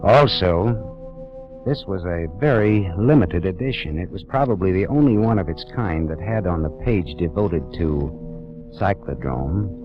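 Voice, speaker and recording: A deep, low-pitched hard-boiled private eye, narrating dry and sardonic over old-time radio music. The broadcast sounds dull and aged, like a 1940s radio play. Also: male voice, expressive, measured pace. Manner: dry, wry, sardonic delivery. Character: hard-boiled wisecracking private detective, man. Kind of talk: 1940s radio drama, first-person narration.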